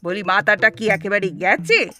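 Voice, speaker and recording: A woman playing an exasperated, sharp-tongued elderly wife with a West Bengal accent in a comic audio drama, scolding and sarcastic. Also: medium pitch, normal speed, soft background music, close to an everyday voice. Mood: impatient, indignant. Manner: scolding, sarcastic, theatrically grumbling.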